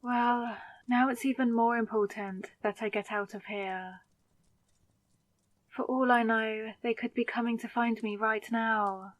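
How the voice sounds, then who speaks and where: A bewildered, sarcastic young woman who has just become a vampire, played by a voice actress, in a dramatic audio roleplay. Her voice sits a little high and she talks slowly, muttering to herself in exasperation. The recording is close and dry. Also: fairly even intonation, no room sound, close to an everyday voice. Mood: groggy, bewildered. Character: confused hungover young woman newly turned vampire, wary and sarcastic.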